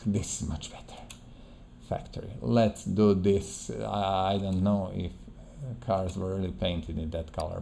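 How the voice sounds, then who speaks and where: A man's voice: fairly low pitch, gravelly, mellow, slight background noise. A man who is not a native English speaker, giving casual game playthrough commentary in a hesitant way, searching for words and repeating himself. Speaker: gaming video host.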